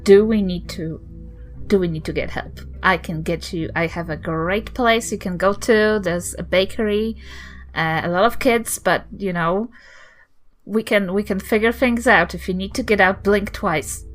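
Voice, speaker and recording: A woman's voice: mid-range voice, a little slow, soft background music, natural voice. A woman playing a protective old granny in a tabletop game, blunt, insistent and indignant. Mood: coaxing.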